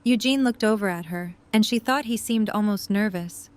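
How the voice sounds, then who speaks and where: A woman's voice: mid-range voice, normal speed, a little mellow. A woman reading scripted third-person story narration for an audio drama.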